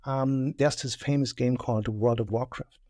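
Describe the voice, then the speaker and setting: A man's voice: medium pitch, steady tone, normal speed, dark tone, no echo. Chatty German-accented man, dry studio sound. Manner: chatty, self-deprecating, joking. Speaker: podcast guest. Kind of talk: casual podcast chat. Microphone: studio mic.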